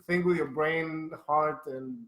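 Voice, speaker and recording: A man's voice: fairly high pitch, fairly even intonation, slow, fairly bright tone, rough recording. A man hosting a casual livestream chat, playful and teasing, laughing often. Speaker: host.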